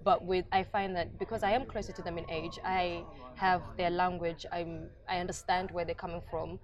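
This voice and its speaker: A woman's voice: lowish voice, level tone, normal speed, highs cut off. A woman in her twenties from Zimbabwe and London, talking reflectively in a casual interview. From Zimbabwe, moved to London at eleven. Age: 20s. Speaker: podcast guest, teacher.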